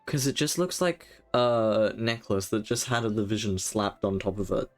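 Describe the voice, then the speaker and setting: A man's voice: on the low side, normal speed. A man giving casual live gameplay commentary as a streamer, rambling and thinking aloud. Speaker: game streamer.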